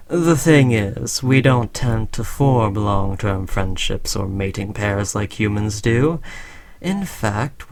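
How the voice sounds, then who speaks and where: A man playing a smug, condescending alien conqueror in an audio roleplay, talking slowly to a human servant with taunting, patronizing fondness. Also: on the low side. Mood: musing, teasing. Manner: slow, taunting, patronizing praise. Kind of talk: scripted audio roleplay addressed to the listener.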